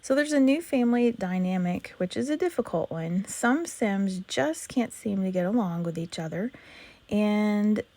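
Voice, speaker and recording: A woman with a hoarse voice calmly narrating a life-simulation game as host of a let's play. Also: medium pitch. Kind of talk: gameplay narration.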